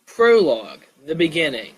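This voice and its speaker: A man's voice: on the high side, sing-song, moderate pace, husky, low-quality recording. A man reading his own stories live, slipping between narration and casual remarks, sometimes stumbling over words. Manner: stumbles and restarts while reading.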